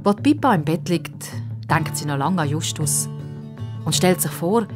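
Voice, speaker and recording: A woman's voice: lowish voice, expressive, normal speed, over music. A woman narrating a children's story expressively from a script.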